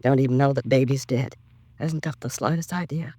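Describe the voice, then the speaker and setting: A woman's voice: extremely low pitch, steady tone, brisk, dark tone, dry recording. An actress playing a bitter, resentful woman in a 1950s radio crime drama, talking in terse, clipped colloquial phrases. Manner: terse, clipped working-class colloquial talk. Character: bitter, resentful woman blaming her neglectful sister.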